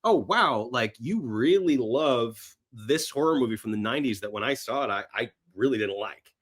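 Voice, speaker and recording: A middle-aged man talking casually as a podcast guest, animated in his storytelling and repeating phrases for emphasis. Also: mid-range voice, moderate pace. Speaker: podcast guest, horror film screenwriter and actor. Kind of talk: casual film chat.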